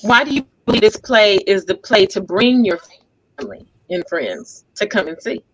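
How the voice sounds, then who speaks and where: A woman's voice: medium pitch, animated, moderate pace, fairly bright tone, breathy edge, rough recording. A woman hosting a casual group interview in a playful, teasing, chatty way. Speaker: talk show host.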